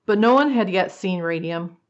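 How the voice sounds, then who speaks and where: Female radio drama narrator, scripted storytelling, medium pitch, slightly lo-fi dull sound. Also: expressive, normal speed.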